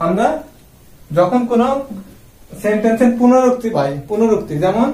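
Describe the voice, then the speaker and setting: A man's voice: very high-pitched, normal speed, dark tone. A man giving a classroom-style explanation as a teacher, posing questions and answering them himself. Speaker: English grammar teacher. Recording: roomy echo, distant microphone.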